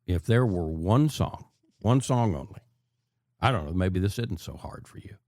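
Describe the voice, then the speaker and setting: An American man hosting a conversational radio interview in a deliberate, emphatic way, repeating phrases for effect. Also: deep voice, expressive, mellow, no room sound, studio quality. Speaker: radio show host.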